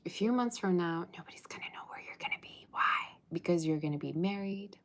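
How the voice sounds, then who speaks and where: A woman's voice: lowish voice, animated, fairly quick. A woman giving a livestream tarot reading, chatty and teasing, reading out viewer comments. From Russia. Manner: chatty, teasing, sings snatches of old songs. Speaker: tarot reader.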